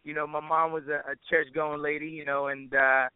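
A man's voice: a little high, little pitch movement, a little fast, smooth, dry recording, narrow, tinny audio. A man chatting casually as an interview guest, with informal, slangy phrasing and frequent "you know" asides. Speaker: interview guest, musician and producer.

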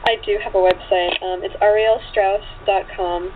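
A woman with a mid-pitched voice talks casually as an interview guest. She sounds agreeable and easygoing in how she answers. The sound is narrow like a telephone, with faint hiss. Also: fairly even intonation, normal speed. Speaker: interview guest, writer and actress. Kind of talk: casual chat. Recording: thin phone line with hiss.

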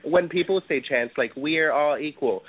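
A man's voice: a little high, normal speed, slightly rough, dry recording. A man from New York, a guest in a casual discussion, talking animatedly with frequent laughs and fillers. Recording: dry, tinny phone-like line.

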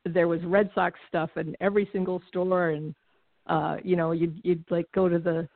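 A woman's voice: lowish voice, level tone, moderate pace, no room sound. A middle-aged woman from Connecticut, a guest on a talk show, chatting casually and laughing often. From Connecticut, New England. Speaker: guest, former baseball team executive. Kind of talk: casual conversational interview. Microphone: phone call. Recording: tinny phone line, dry.

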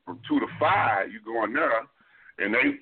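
A man's voice: medium pitch, expressive, normal speed, breathy edge. A man co-hosting a casual talk show, telling a story in a loose, laid-back way. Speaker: podcast co-host. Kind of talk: casual chat. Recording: tinny phone-like line.

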